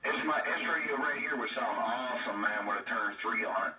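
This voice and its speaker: A man's voice: mid-range voice, moderate pace, sharp tone, far from the mic, faint music behind. A man chatting casually about radio gear in a folksy, colloquial drawl. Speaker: CB radio hobbyist. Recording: echo-effected, roomy sound from off mic.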